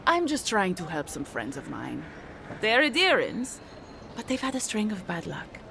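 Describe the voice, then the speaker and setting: An actress voicing a chatty, earnest townswoman in a video game, talking in a gossipy, animated way. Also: medium pitch, normal speed, creaky, a little hiss or hum, exaggerated voice. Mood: earnest, pleading. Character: chatty, earnest townswoman asking help for friends. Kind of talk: video game character dialogue.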